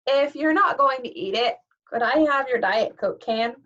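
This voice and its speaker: Lady putting on a jittery young satyr guy, higher and soft, kinda dull sound. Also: expressive, moderate pace, recorded from a distance. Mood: timid. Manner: nervous and timid. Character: timid young male satyr.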